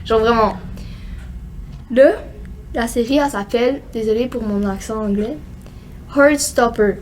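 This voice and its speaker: A woman's voice: a little high, a little slow, darkish tone. A teenage girl with a Québécois French accent, co-hosting a casual podcast chat, rambling with lots of fillers. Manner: rambling, many fillers like "genre" and "euh". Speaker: co-host.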